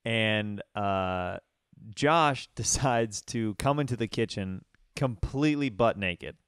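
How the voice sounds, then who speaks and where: A man in his thirties hosting a podcast, telling a story in a casual, animated way. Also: on the low side, slow pace, smooth, no echo, clean studio sound. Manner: animated storyteller, mimics voices in quotes. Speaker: podcast host.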